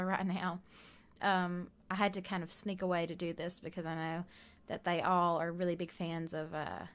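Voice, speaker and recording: A hoarse woman giving a casual, rambling voiceover for a building video from her bedroom at home, with lots of fillers and soft laughs. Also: fairly low pitch. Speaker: gaming video creator.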